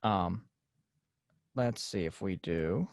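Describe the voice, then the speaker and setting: A man teaching a software class over a video call, explaining casually with lots of fillers. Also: low voice, takes it slowly, creaky, no room sound, studio quality. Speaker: class instructor.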